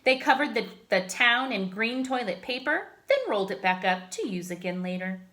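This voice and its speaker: A woman reading a children's picture book aloud like a teacher, with expressive, emphatic phrasing. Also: mid-range voice, moderate pace. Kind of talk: children's story read-aloud.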